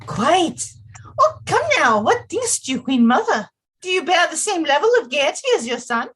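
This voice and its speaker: Woman playing a high, lilting, sweet young queen. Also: very animated, moderate pace, a bit bright. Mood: delighted. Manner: effusive, courtly, theatrical. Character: sweet, eager, naive young queen consort. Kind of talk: period audio drama acting.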